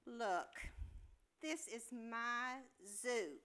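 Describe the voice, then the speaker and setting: A woman's voice: on the high side, expressive, very slow, pushed and bright, smooth, heavily acted. A woman playing a bossy, scornful hospital nurse in a staged comedy reading, sarcastic and dismissive.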